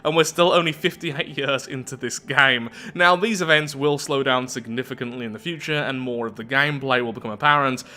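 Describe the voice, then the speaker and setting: A man hosting a video game playthrough, talking through it in an animated, chatty way and thinking aloud. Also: medium pitch. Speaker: YouTube gaming host. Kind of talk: game playthrough commentary, reading event text aloud.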